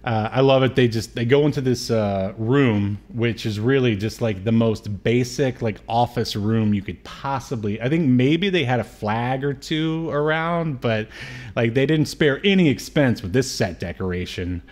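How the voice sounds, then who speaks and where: A man's voice: medium pitch, normal speed, a little mellow. A man in his thirties co-hosting a podcast, recapping a film in a casual, joking way over a video call. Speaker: podcast co-host. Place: indoor room on a video call.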